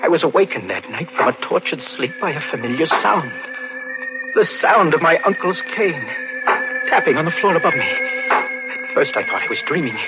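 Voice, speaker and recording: An actor playing a guilt-ridden, nervous young man in an old radio drama, with a halting, intense delivery. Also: fairly high pitch. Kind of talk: 1940s radio drama acting. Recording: muffled old radio recording, loud music.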